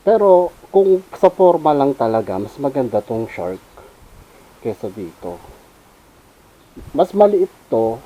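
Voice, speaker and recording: A man's voice: mid-range voice, very animated, slow pace, long pauses, narrow, tinny audio. A Filipino man giving a casual, unscripted gear review as a motovlogger, informally mixing in English. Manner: informal, switches between Tagalog and English.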